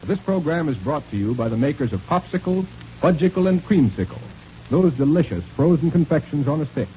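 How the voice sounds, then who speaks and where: A man's voice: on the high side, expressive, fairly quick. A man with an American accent reading scripted announcements and narration in 1930s radio style. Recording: tinny 1930s radio recording, faint noise.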